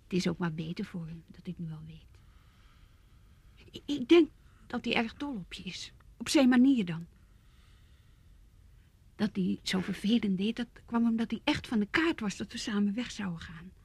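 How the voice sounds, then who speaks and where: Pushy young fiancée in a radio play, coaxing, breathy, dry studio sound. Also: female voice, mid-range voice, lively intonation, moderate pace, pauses often, dry recording. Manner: pressing, coaxing. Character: young fiancée, insistent and manipulative. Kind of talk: Dutch radio play acting.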